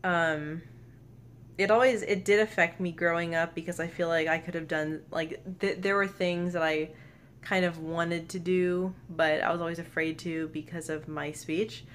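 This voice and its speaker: Young woman, own voice, low-ish pitch, stutters with sound repeats and blocks, earnest camera-facing vlog, faint hiss behind. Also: steady tone, normal speed. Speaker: vlogger. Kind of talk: personal vlog talk to camera. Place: bedroom, indoors. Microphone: camera close in front.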